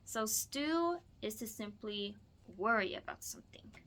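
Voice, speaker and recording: A woman teaching through a picture-book read-aloud, moving between expressive storybook reading and casual explanatory asides. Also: on the high side, unhurried.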